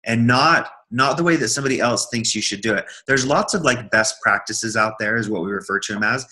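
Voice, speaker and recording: A man's voice: mid-range voice, a little fast, sharp tone. A man co-presenting a business training, talking casually and repeating words as he thinks aloud. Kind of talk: casual workshop talk.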